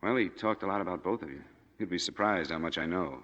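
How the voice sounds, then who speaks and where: An American actor playing a laconic, world-weary former army major in a 1940s radio drama. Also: male voice, low-pitched, fast, a bit bright. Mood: somber, gentle. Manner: laconic, understated. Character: world-weary, plain-spoken male war veteran, former army major. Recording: dull, noisy 1940s radio recording.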